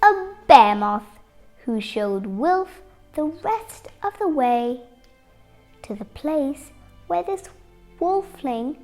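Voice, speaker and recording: An eight-year-old girl reading a children's picture book aloud, expressively and with deliberate pauses. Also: high voice, expressive, darkish tone, faint music behind. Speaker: young storybook reader.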